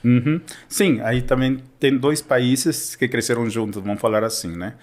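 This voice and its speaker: A man's voice: mid-range voice, moderate pace, a little mellow. A Spanish teacher from Peru who speaks Portuguese with a Peruvian Spanish accent, explaining in a casual educational chat and often checking in with his listener.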